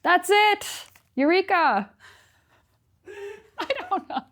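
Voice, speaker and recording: High-pitched young woman presenting a review. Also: lively intonation, takes it slowly, fairly bright tone. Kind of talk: scripted review narration. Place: small indoor studio set. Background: music.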